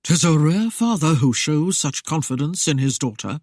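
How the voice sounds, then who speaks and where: Male narrator doing a cold, aloof lord, curt and measured, slightly higher voice, dry close sound. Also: lively intonation, a little mellow, dry recording. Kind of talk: audiobook character voice.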